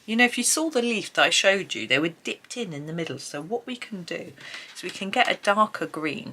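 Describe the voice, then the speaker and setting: A woman's voice: on the low side, fairly bright tone. A middle-aged British woman with a stuffy, blocked nose, chatting casually and rambling with many ums while she colours. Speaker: colouring channel host.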